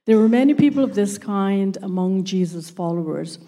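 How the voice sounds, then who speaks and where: A woman whose first language is not English, reading scripture aloud in church slowly and carefully, with occasional stumbles. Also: medium pitch, lively intonation, mellow. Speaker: scripture reader.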